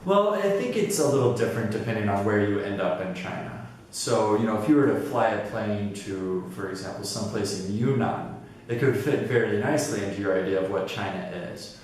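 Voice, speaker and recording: A man's voice: on the low side, expressive, brisk, few pauses, a little mellow, distant mic. Man, articulate, reflective, very echoey, faint noise.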